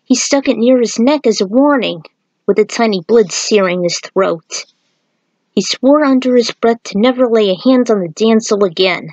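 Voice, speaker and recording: A woman's voice: on the high side, moderate pace, a little mellow, dry recording, lo-fi sound. Woman reading fan fiction, dry close sound. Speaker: fan fiction writer and podfic reader. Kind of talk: amateur story narration with author's notes.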